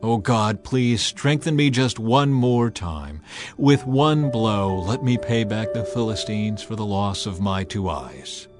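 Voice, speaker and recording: A man's voice: lowish voice, expressive, slow, music in the background. A man voicing an earnest, solemn ancient hero in a dramatized scripture reading, with declamatory delivery. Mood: pleading.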